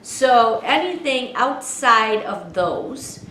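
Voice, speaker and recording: A woman's voice: fairly high pitch, animated, drawn-out words, a bit bright, echoey room, slight background noise, low-quality recording. A woman co-hosting a casual advice show, explaining travel preparation step by step and quizzing her co-host. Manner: explanatory, asks co-host quiz questions.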